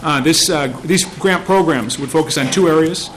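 A man with a fairly high voice lays out a bill for a committee in a formal way, with frequent pauses on "uh". Clear hiss and noise sit under his voice from the hearing-room mic. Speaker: state senator presenting a bill.

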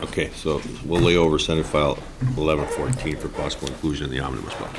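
A man chairing a legislative hearing, moving the agenda along in a brisk, procedural manner. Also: rumbling low, fairly even intonation, heavy background noise. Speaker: committee chair.

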